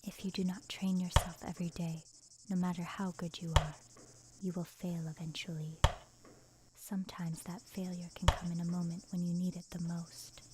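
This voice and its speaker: A woman's voice: on the low side, flat delivery, moderate pace, heavy background noise, close to an everyday voice. A woman voicing a stern, stoic, disciplined young swordswoman with a terse, serious manner in an ASMR roleplay.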